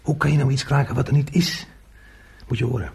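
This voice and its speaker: An extremely husky, middle-pitched working man in a radio play. He is talkative and hot-tempered, with a crude, emphatic way of putting things. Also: fairly even intonation, brisk, a little mellow. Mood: wry storytelling. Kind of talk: naturalistic Dutch radio play acting.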